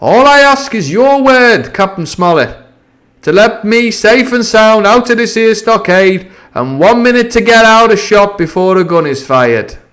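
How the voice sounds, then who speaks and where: A man voicing a scheming one-legged pirate leader who talks loudly with false cheer. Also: high-pitched, lively intonation, measured pace, voice pushed up. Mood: bargaining. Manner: loud, hearty and wheedling.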